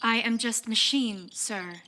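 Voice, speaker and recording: Woman as weary, high-voiced machine servant. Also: a little slow. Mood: gloomy, deadpan. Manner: deadpan, grudging. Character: weary, put-upon ship's machine servant. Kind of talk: live staged science-fiction audio comedy. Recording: faint noise, live stage recording.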